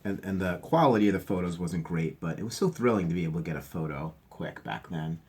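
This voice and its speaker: A man's voice: low voice, lively intonation, fast, darkish tone, slightly rough. A male teacher reading a picture book aloud to children, stopping for chatty asides and sometimes dropping to a whisper.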